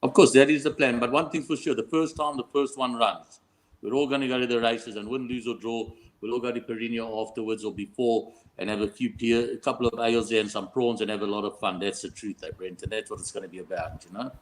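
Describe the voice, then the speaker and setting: A man's voice: medium pitch, steady tone, fast, rough recording. A South African man chatting casually as a betting guest, bantering and often ending on tag questions. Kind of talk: casual chat.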